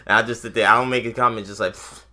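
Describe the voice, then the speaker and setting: Low, bright-voiced man, slangy podcast talker. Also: fairly even intonation, rapid-fire. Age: adult, a parent of a young daughter. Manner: slangy, profane, ranting and opinionated. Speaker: podcast co-host. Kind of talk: casual podcast chat.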